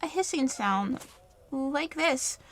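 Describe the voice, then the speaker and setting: A woman's voice: high voice, animated, takes it slowly, background noise, exaggerated voice. A woman playing a rambling, folksy farm woman in a 1930s-style radio play, with rustic turns of speech. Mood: excited recounting.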